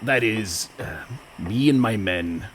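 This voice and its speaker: An actor playing a blustering but sheepish pirate captain with rustic pirate speech in a comic radio play. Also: male voice, fairly low pitch, lively intonation, slow, fairly bright tone, a little hiss or hum. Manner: hemming, rustic pirate dialect.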